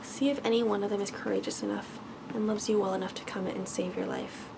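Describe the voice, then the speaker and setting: A woman voicing an angry, commanding male beast in a bedtime storybook, with stern, formal speech, reading softly for ASMR. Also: medium pitch, fairly even intonation, quick pace, creaky, noisy background, close to an everyday voice. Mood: stern, grim.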